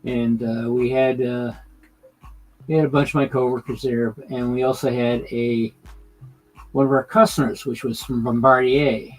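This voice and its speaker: A man live streaming his hobby, talking casually and haltingly while he works on a model layout, with lots of fillers and restarts. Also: medium pitch, little pitch movement, faint music behind, rough recording.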